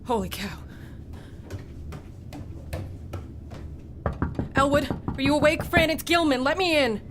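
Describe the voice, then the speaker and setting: An actress playing a haunted, anxious young student in a radio play, with a halting, uneasy delivery. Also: female voice, high-pitched, animated, noisy background. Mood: shaken. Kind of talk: dramatic radio play acting.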